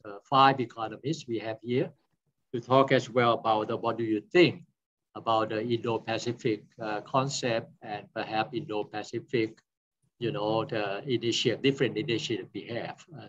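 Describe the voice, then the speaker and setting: A man in his sixties chairing a conference session over a video call, speaking haltingly and searching for words as he introduces the panel. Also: mid-range voice. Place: small office, indoors. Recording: dull lo-fi video-call audio.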